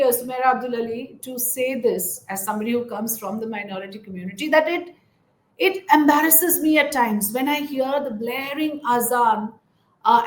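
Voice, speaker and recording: A woman conducting a news interview in a conversational, earnest and emphatic manner. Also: high-pitched. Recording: roomy, echoing voice.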